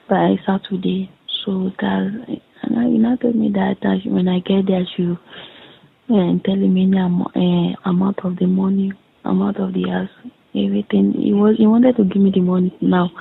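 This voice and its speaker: A young adult woman with a Nigerian accent casually recounts a conversation, haltingly and repetitively, quoting who said what. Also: mid-range voice, flat delivery, gravelly, breathy edge. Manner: halting, repetitive retelling with reported dialogue. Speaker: caller in a private phone conversation. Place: recorded phone conversation. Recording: muffled lo-fi recorded phone call.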